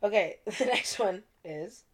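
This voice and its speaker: A woman's voice: mid-range voice, measured pace. Young woman, podcast co-host, loose and giggly, salty language. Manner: laughs often, swears casually. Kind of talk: casual chat.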